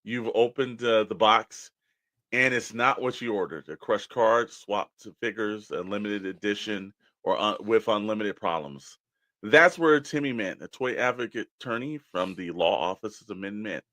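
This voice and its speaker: A man's voice: mid-range voice, fairly bright tone, no echo. An American man co-hosting a podcast in a casual, conversational style. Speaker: podcast co-host. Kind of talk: casual toy podcast chat.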